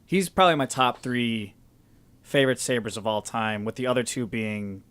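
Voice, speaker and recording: A man's voice: mid-range voice, moderate pace, a bit bright, clear, resonant tone. A young man with a South Buffalo accent, co-hosting a casual sports podcast, eager and rambling, often repeating his words. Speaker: podcast co-host.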